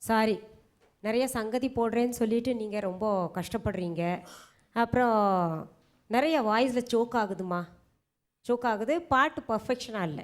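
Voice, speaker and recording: A high-pitched woman gives spoken feedback as an audition judge. She is frank and instructive, explaining her assessment to contestants. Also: normal speed, long pauses, faint music behind.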